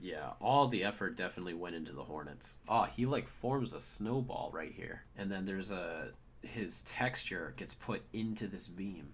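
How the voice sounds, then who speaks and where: A man's voice: deep voice, animated, normal speed, noisy background. A young man reviewing a cartoon episode in an informal, conversational and opinionated way. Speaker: video reviewer.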